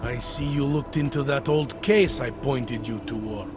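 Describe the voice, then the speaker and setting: An actor playing a gruff, skeptical police detective in a video game, blunt and measured as he questions things. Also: medium pitch, over music, lightly acted. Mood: skeptical, probing. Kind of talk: video game cutscene acting.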